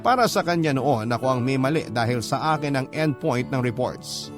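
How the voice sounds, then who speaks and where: A man reading a first-person letter as a radio storyteller, in measured short phrases. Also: mid-range voice, animated. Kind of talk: first-person scripted letter narration, classic radio style. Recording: clear guitar music bed underneath. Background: guitar music.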